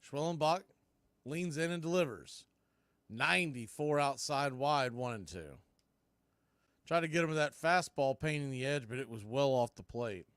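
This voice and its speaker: Bright, forceful baseball play-by-play man. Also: mid-range voice, moderate pace, clean tone, no echo. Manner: punchy short phrases, excited bursts on big plays. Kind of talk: live play-by-play commentary.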